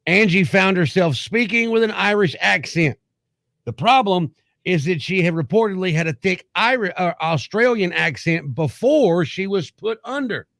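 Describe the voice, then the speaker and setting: A man's voice: high-pitched, a bit bright, no echo. A male morning radio host who chats casually, jokes and laughs often, and reads news stories aloud. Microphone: studio microphone.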